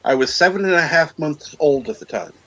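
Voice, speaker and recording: A man in his fifties talking as a guest on a call. He speaks hesitantly, with many pauses and fillers. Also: on the high side, pushed and bright, poor audio. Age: 50s. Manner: slow and hesitant, lots of fillers. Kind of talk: casual chat.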